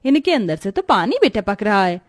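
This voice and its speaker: A woman's voice: medium pitch, very animated, normal speed, natural voice. A woman voicing a bossy, scolding middle-aged mother-in-law in a dramatized moral story.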